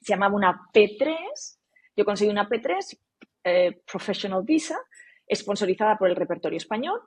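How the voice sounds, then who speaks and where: A woman's voice: mid-range voice, very animated, moderate pace, a little mellow, slightly rough. A woman from Spain, a podcast guest, talking in a casual interview and often restarting and repeating words for emphasis. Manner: frequent restarts, emphatic repetition.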